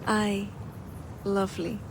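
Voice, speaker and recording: An actress playing an earnest former druid noblewoman who speaks in a soft, confiding, measured way. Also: medium pitch, flat delivery. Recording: game cutscene with clear background noise.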